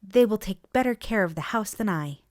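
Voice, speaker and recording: A proud, gentle mother rabbit from a children's storybook, acted out by a male reader. The voice is lifted high and swings expressively, speaking politely and with soft pride, close and dry. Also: animated, normal speed, no room sound. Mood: assured.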